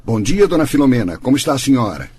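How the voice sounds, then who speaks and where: An actor playing a learned, middle-aged gentleman of the law in a radio soap, formal and measured, with a Brazilian accent. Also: medium pitch, very animated, husky. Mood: earnest. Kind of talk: radio soap opera acting.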